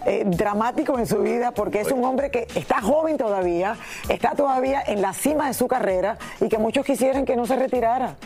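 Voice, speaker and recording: Gravelly woman co-host, chatty. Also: on the high side, a little fast, a little mellow, soft background music. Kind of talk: casual studio chat.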